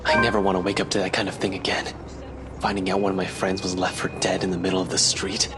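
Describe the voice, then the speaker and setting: A man's voice: low-pitched, flat delivery, fast talker, over loud music, close to an everyday voice. A man playing a guarded, weary and protective friend in a video game drama, with a serious, terse manner. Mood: frustrated, protective.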